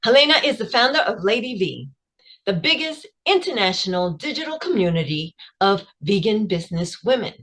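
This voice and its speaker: Woman podcast host, measured delivery. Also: fairly high pitch, fairly bright tone. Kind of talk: scripted intro then interview questions.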